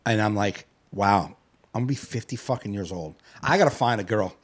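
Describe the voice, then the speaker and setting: A man's voice: on the low side, a little fast, a little hiss or hum. A man in his late forties, a podcast host, talking in a rambling, profane, reflective way. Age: 40s. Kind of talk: casual chat.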